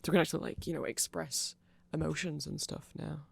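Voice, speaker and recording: A man's voice: on the high side, little pitch movement, brisk, creaky, breathy edge. A man giving a nerdy, rambling ASMR explanation, often correcting himself and checking in with the listener. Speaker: ASMR creator.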